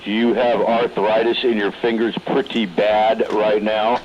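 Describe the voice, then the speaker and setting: A man's voice: on the low side, level tone, measured pace, over a noisy crowd. A man making casual conversation over CB radio. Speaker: CB radio operator. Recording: very noisy, muffled CB radio transmission.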